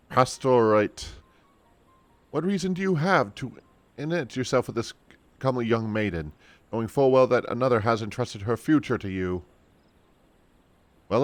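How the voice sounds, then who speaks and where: A man voicing an anxious, lovesick young noblewoman from a fantasy game, speaking haltingly with stammers. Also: mid-range voice, expressive, dry recording, pitched above the performer's own voice, lightly acted. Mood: indignant.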